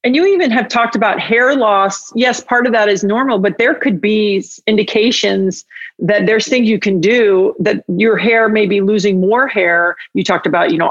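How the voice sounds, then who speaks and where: A woman's voice: medium pitch, dry recording. Dry, slightly muffled woman podcast host. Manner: informal, halting, self-interrupting, encouraging. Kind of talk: casual conversational interview. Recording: dry, slightly dull lo-fi sound.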